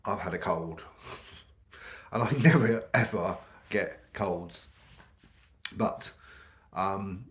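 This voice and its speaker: A middle-aged British man talks to camera, his voice croaky from a cold and pitched a little low. He rambles casually, pausing on ums and restarting his sentences. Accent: British English. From United Kingdom. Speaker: vlogger.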